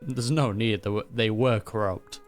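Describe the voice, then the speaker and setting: A man's voice: lowish voice, steady tone, normal speed, soft background music. A man giving casual, amused reaction commentary over a video game in a laid-back way.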